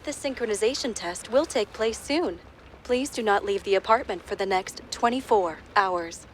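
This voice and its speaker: A woman voicing a polite, impersonal home computer in a sci-fi video game, giving measured, formal announcements. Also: mid-range voice, slight background noise, close to an everyday voice. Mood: formal, calm.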